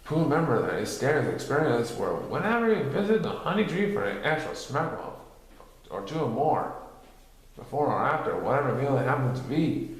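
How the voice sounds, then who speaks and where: A man narrating a children's storybook as an expressive reader. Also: mid-range voice, moderate pace. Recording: strongly echoey room.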